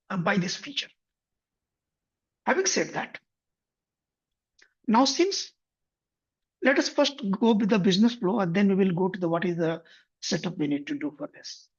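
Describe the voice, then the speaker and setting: A man guides viewers through a software tutorial in a husky, slightly breathy voice. He moves step by step and rephrases as he goes. The recording sounds dull and lo-fi. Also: sits high. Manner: methodical, step-by-step, frequent self-corrections. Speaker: software instructor. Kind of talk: screen-share tutorial explanation.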